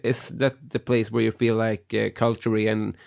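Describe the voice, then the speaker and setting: A male interviewer who asks relaxed questions and keeps up brief agreeing murmurs. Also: on the low side, moderate pace, vocal fry.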